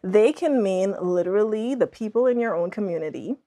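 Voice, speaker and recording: A woman's voice: mid-range voice, expressive, fairly quick, warm tone. A young woman from the Bahamas talking casually and reflectively as an interview guest, often saying "like" as she explains. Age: young adult. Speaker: podcast guest, author. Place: indoor podcast studio, seated in armchair.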